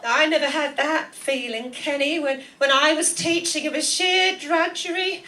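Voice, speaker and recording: A woman's voice: sits high, steady tone, normal speed, sharp tone. A woman playing a jaded aunt and former schoolteacher with a dry, unimpressed manner in a radio comedy play. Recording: distant stage microphone.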